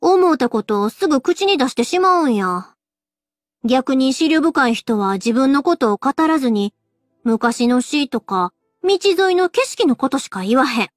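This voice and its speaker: A woman's voice: high voice, animated, normal speed, no room sound, clean studio sound, soft background music. An actress voicing a frank, chatty young fireworks girl with a Kansai-style accent in a game voice line. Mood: musing. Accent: Kansai-style dialect. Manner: candid, chatty.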